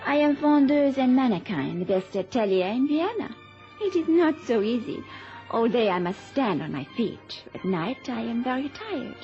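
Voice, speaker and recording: An actress playing a cool, weary Viennese shop model in a radio play, clipped and formal. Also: female voice, fairly high pitch, big pitch swings, moderate pace. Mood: aloof, weary. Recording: dull old radio recording with music.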